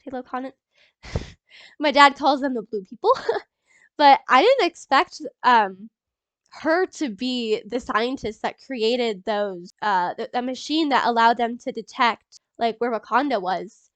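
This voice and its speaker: A young woman with a high voice and a stuffy, congested nose from a cold, chatting excitedly to camera. She rambles, restarts and laughs between thoughts. The sound is close and dry, with no room around it. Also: measured pace, no echo. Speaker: YouTuber. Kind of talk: casual movie reaction vlog.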